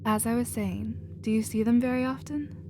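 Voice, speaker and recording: A woman playing a gentle, emotional alien scientist in a scripted ASMR roleplay, earnest and expressive in manner. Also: a little high, moderate pace, slight background noise, close to an everyday voice. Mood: tender, concerned. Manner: soft-hearted, earnest, expressive.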